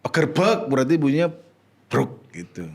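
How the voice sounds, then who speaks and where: A man's voice: medium pitch, very animated, moderate pace, a little mellow. A man from Madura with a Madurese accent, chatting casually as a guest and eagerly explaining words with frequent laughs. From Madura, Indonesia. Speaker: guest comedian. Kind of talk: casual chat.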